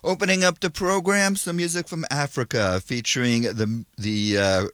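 A man's voice: fairly low pitch, fairly bright tone, dry recording. A man hosting a radio music show from the studio, introducing songs and interviewing a guest with dry humor and frequent hesitations.

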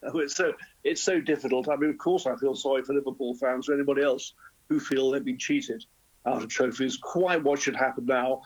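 A man's voice: medium pitch, steady tone, fairly quick, husky, low-quality recording. A man in his seventies, a guest in a live radio interview, speaking hesitantly with repeated words. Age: 70s. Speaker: interview guest, former football club chairman.

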